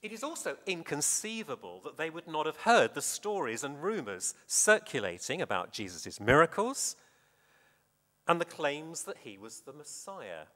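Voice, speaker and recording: A man preaching a sermon in a measured, deliberate way with dramatic emphasis, his voice echoing in a hall. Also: on the high side, big pitch swings, bright, forceful tone. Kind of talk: sermon with scripture reading. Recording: reverberant hall, long echo.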